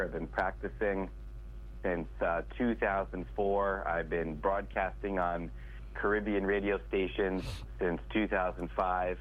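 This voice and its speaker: Deep-voiced congested man on a phone line. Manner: salesy, direct address to listeners. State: congested, stuffed up. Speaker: attorney guest. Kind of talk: phone-in radio pitch.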